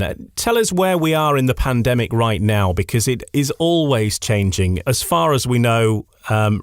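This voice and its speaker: A male radio host in interview mode, speaking at a middling pitch. His phrasing winds along conversationally, and the sound is dry with little room on it. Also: no room sound.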